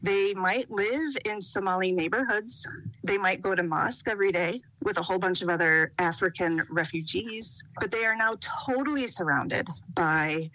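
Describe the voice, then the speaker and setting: A woman public health official explaining things deliberately in an interview. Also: mid-range voice, sharp tone, rough recording.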